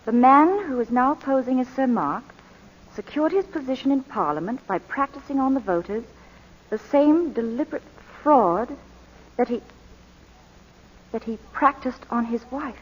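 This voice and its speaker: An old, muffled, echoing radio recording of an anxious, devoted wife. Her voice is fairly high and a little husky, swooping with theatrical emotion. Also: animated, normal speed. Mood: shocked. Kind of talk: 1940s radio drama acting. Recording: muffled, reverberant 1941 radio broadcast.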